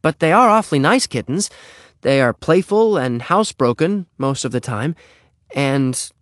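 A man's voice: mid-range voice, expressive, moderate pace, dry recording, studio quality, voice pushed up, close to an everyday voice. A man voicing an earnest, eager young schoolboy trying to sound businesslike in a storybook reading. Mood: lame, hopeful. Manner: hesitant, flustered salesmanship.

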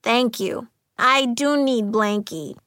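A vulnerable schoolgirl voiced by a woman narrator talks slowly in a fairly high voice. She is hurt but brave, telling her memory in a children's storybook. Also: a bit bright, no room sound. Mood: tearful, grateful.

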